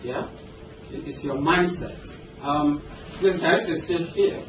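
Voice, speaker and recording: A man's voice: on the high side, slow pace. Nigerian man speaking in a noisy, echoey room. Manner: conversational, engaging the audience with questions and check-ins. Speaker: business coach and seminar speaker. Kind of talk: motivational seminar talk. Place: indoor seminar room with an audience. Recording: roomy, noisy seminar hall, distant mic.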